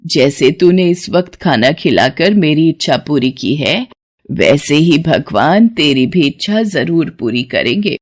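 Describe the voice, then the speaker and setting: Lady putting on a old granny beggar for a fairy tale, low slow voice, kind and wise. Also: expressive. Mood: solemn, kindly. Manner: slow, solemn, benevolent. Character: kindly old beggar woman, wise and blessing.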